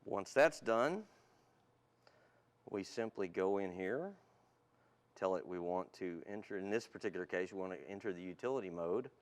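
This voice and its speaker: A man's voice: deep voice, animated, moderate pace, long pauses. A man speaking as a guest technical specialist, explaining things in a polite, matter-of-fact way in an interview. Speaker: guest car tuning specialist. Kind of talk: plain product explanation in an interview.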